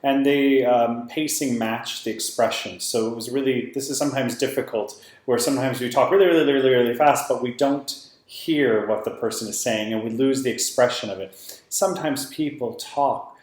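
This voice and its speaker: A man with a medium-pitched voice gives off-the-cuff feedback as a competition judge. He is a native English speaker, encouraging but hesitant, circling through his points in a rambling way. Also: moderate pace, darkish tone. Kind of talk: unscripted spoken feedback.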